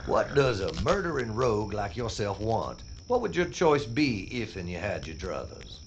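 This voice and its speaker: A man's voice: lowish voice, big pitch swings, normal speed, slight background noise. A man playing Lamort, a sneering bounty hunter. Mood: mocking. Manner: mocking, drawling, menacing. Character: swaggering gruff male bounty hunter Lamort. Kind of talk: audio drama acting.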